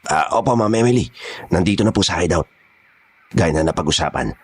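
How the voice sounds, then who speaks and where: A man playing a gruff, blunt kidnapper boss in a horror audio drama. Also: deep voice, expressive, brisk. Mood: deferential. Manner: rough, street-tough, blunt. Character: gruff, menacing male kidnapper gang boss.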